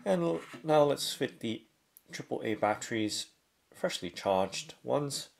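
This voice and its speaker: A man giving a casual hands-on product demonstration, talking step by step in short, halting phrases. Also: mid-range voice, lively intonation, vocal fry. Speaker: product reviewer.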